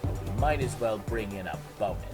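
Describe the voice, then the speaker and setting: A man acting tough, commanding male fighters in a fan fiction audio drama, with a gruff, theatrical delivery. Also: lowish voice, expressive, fairly quick, lightly acted. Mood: menacing. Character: tough, menacing male gang leader and gruff hero turtle. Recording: music behind the voice.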